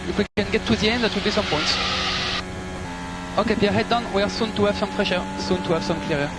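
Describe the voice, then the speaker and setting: High-pitched male race engineer on noisy radio. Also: fast talker. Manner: clipped, procedural, repeats instructions. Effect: team radio. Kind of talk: team radio instructions and race updates. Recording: very noisy car team radio transmission. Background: loud car noise.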